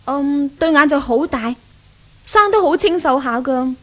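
A woman's voice: sits high, lively intonation, a little slow. An actress playing a spoiled, jealous young rich woman in a Cantonese radio drama, whiny and petulant, with a Hong Kong accent. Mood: bossy, resentful. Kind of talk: 1970s radio drama acting. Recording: 1970s radio drama recording.

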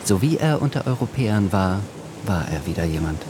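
A man narrating a literary radio drama in a measured, deliberate storytelling manner. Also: sits low, big pitch swings, noisy background.

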